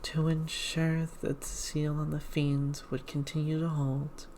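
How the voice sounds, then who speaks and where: A woman reads a game aloud in a soft ASMR hush that often drops to a whisper. Her voice is low and dark, and she takes her time with a very slow, measured delivery. There is faint typing behind her. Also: little pitch movement, slight background noise. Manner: hushed, slow, measured reading with whispered passages.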